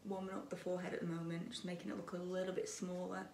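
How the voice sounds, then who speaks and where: A young woman in her twenties chatting while she does her makeup at a dressing table, gossipy and opinionated, her voice a bit croaky. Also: on the low side, completely flat delivery, quick pace, a little mellow, echoey room, far from the mic, slight background noise. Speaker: beauty vlogger. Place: bedroom dressing table with lit mirror, indoors.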